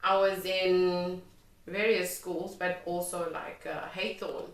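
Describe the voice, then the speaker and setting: A woman's voice: fairly low pitch, fairly even intonation, slow pace. Echoey woman. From Lesotho and South Africa. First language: Sotho. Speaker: interview guest, music and poetry artist. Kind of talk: conversational life-story interview. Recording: echoey room, distant microphone.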